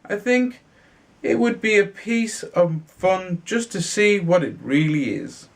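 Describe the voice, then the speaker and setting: A man's voice: high voice, expressive, slow, creaky, voice pushed up, full character voice. A man reading a storybook, voicing a curious young brother in a fairy tale who muses and wonders. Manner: musing, wondering.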